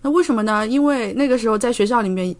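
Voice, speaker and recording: A woman's voice: fairly high pitch, quick pace. A woman in her thirties from China chatting casually as an interview guest, telling stories vividly and often checking in with the listener. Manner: chatty, animated storyteller who re-enacts speech and checks in with the listener. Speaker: podcast guest, medical doctoral student.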